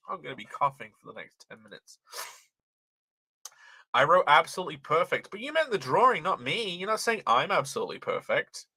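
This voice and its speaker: A young British man with a light, transatlantic-leaning accent talks through a casual livestream, reading comments aloud. His voice is very bright and forceful, his pitch swings around animatedly, and it sits close and dry on the mic. He swears freely and laughs a lot. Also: sing-song, brisk, long pauses, slightly rough, no room sound, low-quality recording. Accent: light British, transatlantic-tinged. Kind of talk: casual live-stream chat, reading chat aloud.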